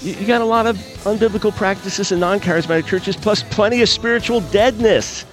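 A man's voice: sits high, a little fast, music in the background, poor audio. A middle-aged man hosting a call-in radio talk show, speaking with passion and a preacher's emphasis.

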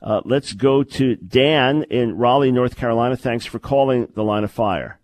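A man's voice: mid-range voice, normal speed. A man hosting a call-in radio talk show, talking in an earnest, emphatic way and repeating words to stress a point.

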